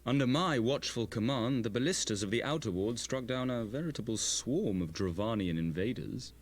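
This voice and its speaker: A man's voice: mid-range voice, animated, moderate pace, natural voice. An actor playing a pompous, vain young nobleman in a fantasy game, with a theatrical manner. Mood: boastful.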